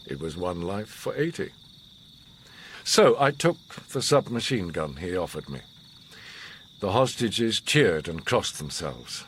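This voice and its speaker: Older haunted war survivor, really low voice, solemn n commanding, radio play, insect noise or hiss behind. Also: male voice, fairly bright tone. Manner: measured, solemn, philosophical pronouncing. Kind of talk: radio drama monologue and dialogue.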